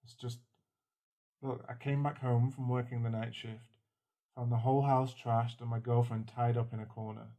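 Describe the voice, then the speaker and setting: A man's voice: medium pitch, little pitch movement, normal speed, mellow, dry recording, natural voice. A man playing a protective, tender werewolf boyfriend in an ASMR roleplay, speaking in a soothing, reassuring manner. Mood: shaken, subdued.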